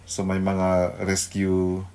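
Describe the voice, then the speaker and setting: A middle-aged man with a Filipino accent giving an unscripted devotional radio talk, pausing to search for words and mixing two languages. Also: low-pitched, monotone, darkish tone. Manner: halting, searching for words, mixes Tagalog and English. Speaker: radio devotional host, pastor.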